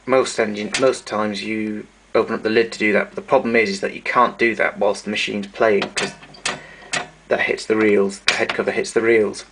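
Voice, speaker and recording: A man narrating a casual show-and-tell of his tape recorders, explaining step by step with pauses and fillers. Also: lowish voice, little pitch movement, noisy background.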